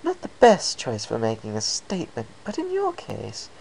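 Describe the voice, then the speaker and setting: A woman's voice: a bass voice, huge pitch swings, moderate pace, creaky, a little mellow, slight background noise, voice pushed up, exaggerated voice, poor audio. A woman voicing a refined, fussy female pony dressmaker in a genteel, theatrical way, drawing out her words. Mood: appraising, coy.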